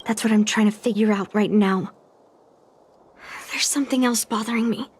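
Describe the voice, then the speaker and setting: A woman's voice: medium pitch, steady tone, normal speed, husky, lightly acted. A woman voicing a determined, earnest young woman in a video game, thinking through her situation aloud. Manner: earnest, pondering. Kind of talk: scripted video game dialogue acting. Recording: music behind the voice.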